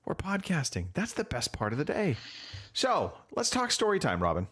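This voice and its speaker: A man's voice: on the high side, wildly swooping pitch, normal speed. A man hosting a casual podcast, upbeat and easygoing as he runs the show. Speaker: podcast host. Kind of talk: casual chat.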